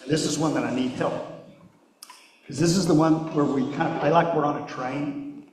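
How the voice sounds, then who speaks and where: A man's voice: on the high side, steady tone, normal speed, a crowd in the background. A man leading children in song, speaking casually in a folksy, hesitant way. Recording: very echoey church, music behind.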